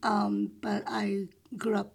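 A woman hosting an interview show, reading a prepared introduction and then chatting with a guest, with soft murmured responses. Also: medium pitch, monotone, slow pace. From Philippines. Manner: reads intro smoothly, then chats with soft backchannels. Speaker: podcast host.

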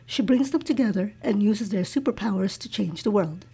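A woman hosting an interview show, reading out a guest introduction and then asking soft, hesitant questions. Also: medium pitch, lively intonation, moderate pace, creaky, husky. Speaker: podcast host.

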